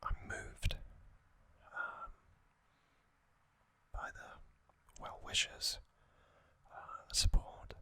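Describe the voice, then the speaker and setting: A man whispering softly into a close microphone for an ASMR recap, his airy, crisp-edged whisper never rising to full voice. He takes his time, drifting slowly through each phrase with gentle pauses and little hesitations. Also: no room sound. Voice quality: soft unvoiced whisper throughout. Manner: gentle, unhurried, with frequent soft hesitations. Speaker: ASMR channel host.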